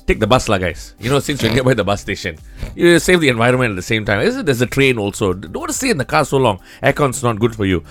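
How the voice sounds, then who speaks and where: A man's voice: mid-range voice, big pitch swings, fast talker, a bit bright, soft background music. A man with a Malaysian accent presenting on radio in a casual, conversational, wry way.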